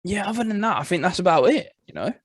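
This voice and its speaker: A man's voice: high-pitched, lively intonation, fast talker, breathy edge, dry recording, clean studio sound. A man with an English accent co-hosting a podcast, mostly agreeing and giving short responses. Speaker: podcast co-host. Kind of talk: casual chat.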